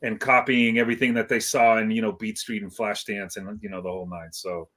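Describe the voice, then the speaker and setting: A man's voice: on the low side, level tone, a little fast, fairly bright tone. A middle-aged man from Iceland, a guest DJ in a casual interview, talking in a laid-back, modest way with many fillers and restarts.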